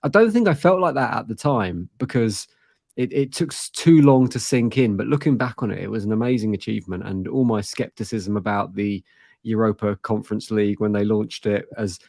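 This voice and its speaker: A man from the south of England with a southern English accent softened by years in Manchester, a guest talking football in an articulate, self-deprecating way. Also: fairly low pitch, fairly quick, creaky, no echo, clean studio sound. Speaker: guest, rival fan. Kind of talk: casual football chat.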